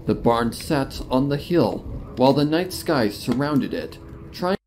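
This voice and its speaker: A man reading a dark story aloud as a narrator, in a dramatic scripted style. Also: medium pitch, lively intonation, a little slow, a little hiss or hum.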